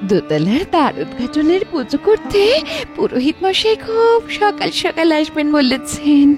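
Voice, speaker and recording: Cartoon village wife female actor, fretful and timid, very high lively voice over loud music. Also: moderate pace. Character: anxious village housewife, devout and fearful. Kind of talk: animated moral story acting.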